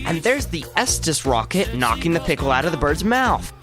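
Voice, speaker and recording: Man playing a helpful, lively comedy sidekick. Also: on the high side, normal speed, over music. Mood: matter-of-fact. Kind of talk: comedy radio play acting.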